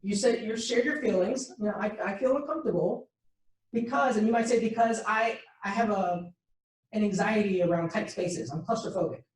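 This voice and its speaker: A woman's voice: medium pitch, a little fast, recorded from a distance. Woman teaching in an echoey room. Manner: explains patiently, acting out example dialogue. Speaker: workshop instructor. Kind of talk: interactive teaching session.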